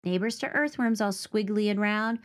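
A woman's voice: mid-range voice, normal speed. Woman reading a children's book aloud. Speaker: teaching artist reading a picture book aloud. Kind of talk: children's read-aloud of a rhyming picture book and nature facts.

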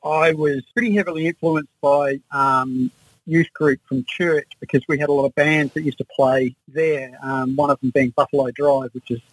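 A man's voice: fairly high pitch, fairly even intonation, normal speed, dry recording, poor audio. An Australian man in his sixties reminiscing casually as an interview guest. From Adelaide, Australia. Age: 60s. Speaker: interview guest, musician and researcher.

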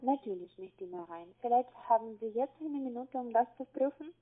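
A woman's voice: fairly high pitch, expressive. Insistent foreign-accented woman on a tinny phone. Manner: insistent, halting non-native phrasing. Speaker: call-centre caller. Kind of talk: phone conversation. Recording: tinny narrow phone line. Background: phone call.

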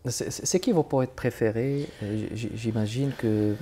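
Formal, mellow-voiced male TV host. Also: medium pitch, slight background noise. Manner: formal, measured presenter delivery. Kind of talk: arts interview hosting. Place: TV studio.

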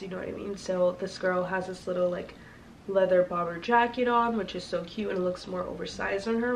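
Young woman, sick and congested, gravelly fry, rambling fillers, slightly distant mic, faint noise. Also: lowish voice. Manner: chatty, rambling, lots of fillers. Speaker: fashion vlogger. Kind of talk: casual chat to viewers.

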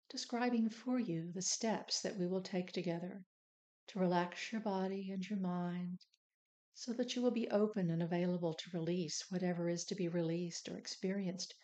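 A woman narrating a guided meditation, giving soothing, deliberate instructions as the guide. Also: fairly low pitch, mellow.

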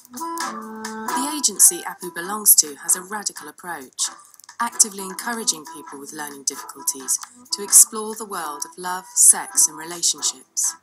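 A woman's voice: lowish voice, lively intonation, moderate pace, loud music behind the voice, low-quality recording. A woman reading scripted documentary narration.

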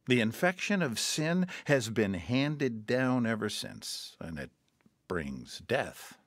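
An actor playing a patient mission counselor in a radio drama, talking calmly and earnestly and quoting scripture. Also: male voice, mid-range voice, takes it slowly, no room sound, clean studio sound, heavily acted. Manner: calm, earnest, quotes scripture.

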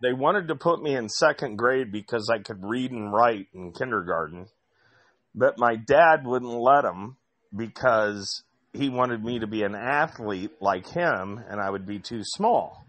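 A man from Indiana talks in a casual chat, with a voice of ordinary middle pitch. He tells long stories and often restarts his sentences. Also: animated, unhurried, fairly bright tone, no room sound. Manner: rambling storyteller with many restarts.

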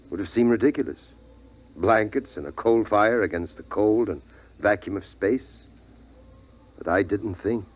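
A man's voice: fairly low pitch, moderate pace, pauses often, lightly acted. A man playing a grave, weary middle-aged father, a survivor telling his story solemnly in a 1950s radio drama. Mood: grave, reflective. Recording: thin, phone-like vintage radio recording.

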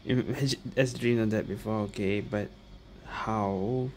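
A woman's voice: very deep voice, fairly even intonation, a little slow, darkish tone, a little hiss or hum. A woman giving casual, amused gameplay commentary as a game streamer. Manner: amused, often laughing and echoing game lines. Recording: game music behind the voice.

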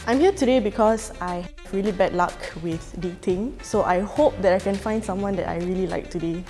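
A woman's voice: medium pitch, fairly quick, warm tone. Cheerful Singaporean woman in her twenties. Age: 20s. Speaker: blind date contestant. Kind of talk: casual chat. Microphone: lapel. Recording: music bed and long reverb.

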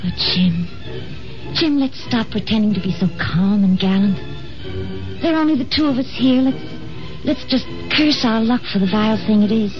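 Actress playing anguished woman in love, highish voice, dramatic pleading, 1950s radio drama, music behind. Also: animated, moderate pace, lightly acted. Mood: pleading, exasperated. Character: anguished, earnest woman in love, Manhattan sophisticate. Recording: muffled old radio recording, loud music behind.

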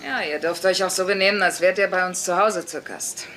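An actress playing a wisecracking, deadpan working-class mother who waits tables, in a dubbed sitcom. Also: lowish voice, fairly quick, close to an everyday voice. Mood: sarcastic. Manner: sarcastic, deadpan. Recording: audience laughter and noisy ambience.

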